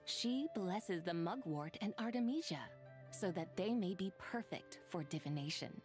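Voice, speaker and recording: A woman voicing a proud, serene female immortal in a video game, speaking formally and in measured, stately phrases. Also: lowish voice, lively intonation, darkish tone. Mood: reverent, solemn. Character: proud, serene female immortal moon-maiden, aloof toward mortals. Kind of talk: scripted video game dialogue and poem recitation. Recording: clean studio voice over game music.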